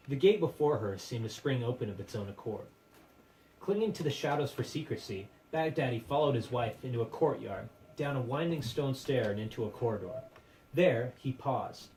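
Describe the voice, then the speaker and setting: Man, mid-pitched voice, theatrical scripted narration, measured literary delivery, distant microphone. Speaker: puppet show narrator. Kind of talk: dramatic storytelling, scripted narration. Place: live puppet show performance, room. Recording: distant microphone with faint room noise.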